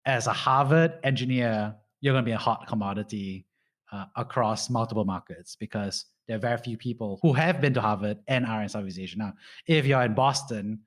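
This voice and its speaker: A man's voice: on the low side, a little fast. A man in his thirties hosting a podcast, giving casual advice in a rambling way full of "you know" and "right?". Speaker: podcast host.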